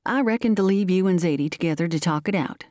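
A woman voicing a plain, brisk country mother in a storybook, with folksy rustic speech. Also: a little mellow, no room sound, clean studio sound, close to an everyday voice. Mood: brisk, decided. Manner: folksy rustic dialect, shrill when calling.

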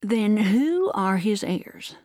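A woman's voice: medium pitch, sing-song, takes it slowly, darkish tone, no room sound, studio quality. A woman voicing a mean, grasping old book salesman, insistent and legalistic, in a storybook reading.

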